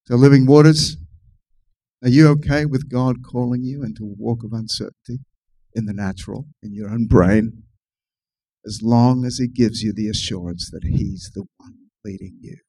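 A male pastor in full sermon mode, his voice at an everyday middle pitch. He drives his points home with passionate bursts of emphasis, then settles back into steady exhortation. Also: moderate pace, low-quality recording. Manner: impassioned, surging emphasis on key phrases.

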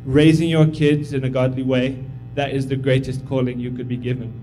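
A man's voice: mid-range voice, little pitch movement, brisk, dark tone, a little hiss or hum, rough recording. A man in his thirties who grew up in Tanzania, giving an informal, humble sermon as a visiting missionary, encouraging and full of fillers. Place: church sanctuary.

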